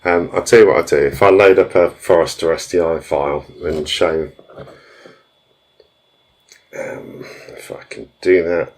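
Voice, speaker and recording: Male stream host, deep voice, casual and rambling, hesitant delivery. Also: fairly even intonation, a little slow, pauses often, a little mellow. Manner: rambling, hesitant, many fillers and restarts. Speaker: tuning shop host answering viewer questions.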